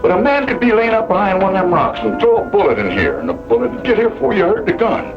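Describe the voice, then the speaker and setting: An actor playing a folksy, talkative, worrying cowboy sidekick with wry rural speech in an old-time radio western. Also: male voice, high voice, very animated, quick pace. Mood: fretful, folksy. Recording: muffled old radio broadcast, music behind.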